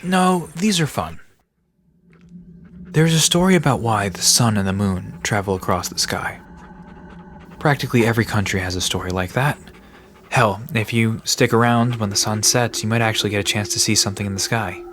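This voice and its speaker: A man's voice: lowish voice, normal speed, a bit bright, a little hiss or hum, close to an everyday voice. A man playing a laid-back, bookish park resident in an audio drama, casually explaining myths with a dry manner. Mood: easygoing, informative. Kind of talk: conversational audio drama storytelling.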